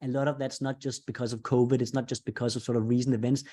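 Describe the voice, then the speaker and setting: A man's voice: medium pitch, little pitch movement, fast, warm tone. A man presenting an investor webinar, explaining step by step in a conversational way.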